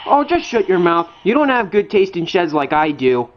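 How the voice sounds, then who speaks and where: A man's voice: sits high, sing-song, moderate pace, muffled recording, a little hiss or hum. A man voicing a cocky newcomer tank engine in a children's train story, brash and prone to whining. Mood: whiny, rude. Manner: brash, eager, whiny when displeased.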